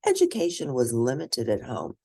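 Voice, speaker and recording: Very low-voiced woman reading a storybook. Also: wildly swooping pitch, normal speed, creaky, dark tone, slightly rough. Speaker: host reading picture books aloud. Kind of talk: read-aloud children's storybook narration.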